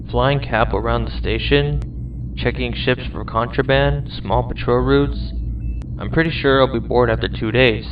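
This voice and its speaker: A man voices a young space cadet, hesitant and quietly worried, sending a message to his father. The voice sounds distant and dull, like a lo-fi recording with steady noise behind it. Its pitch sits in the middle. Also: close to an everyday voice. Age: young adult. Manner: hesitant, wistful, gently worried.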